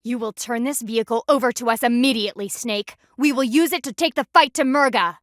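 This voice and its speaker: A woman's voice: a little high, fairly quick, a bit bright, dry recording, clean studio sound, natural voice. A woman voicing a stern, proud panda warrior in a video game, speaking formally and with haughty, precise diction. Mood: indignant, stern. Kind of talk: scripted video game voice acting.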